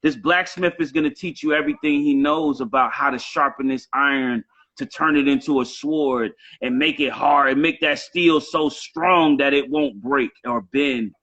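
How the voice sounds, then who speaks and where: A man's voice: mid-range voice, normal speed, fairly bright tone, muffled recording. A man in his late thirties with a Southern US accent, talking casually and emphatically on a live stream. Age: 30s. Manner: emphatic, repetitive, heavy swearing. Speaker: rapper on a live show. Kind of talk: casual chat.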